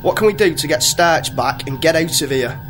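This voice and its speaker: A man's voice: a little high, expressive, normal speed, music in the background, close to an everyday voice. A man playing a brash, sarcastic spaceship captain in a comedy sci-fi radio play, with a bossy, flippant manner.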